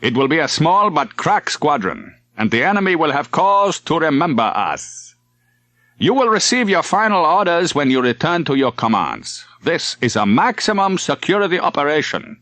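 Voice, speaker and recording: A man voicing a brisk, smugly genial rear admiral in an audiobook, with bright, clipped, self-satisfied delivery. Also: a little high, big pitch swings, no echo, voice pushed up. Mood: brisk briefing. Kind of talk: audiobook character acting.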